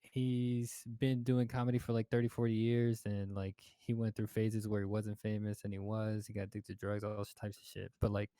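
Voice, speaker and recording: A man's voice: lowish voice, little pitch movement, normal speed, few pauses, mellow, clear, resonant tone, no room sound. A man in his twenties hosting a casual podcast chat, rambling with lots of fillers and swearing. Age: 20s. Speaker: podcast host.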